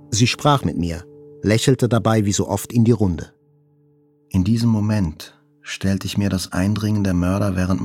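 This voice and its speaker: A wounded journalist narrating his memories in a radio drama. The voice sits low and crackles with fry. The reading is reflective and literary, with faint music behind it. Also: a little mellow. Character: wounded male journalist recalling his hospital ordeal, reflective. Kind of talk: scripted first-person literary narration.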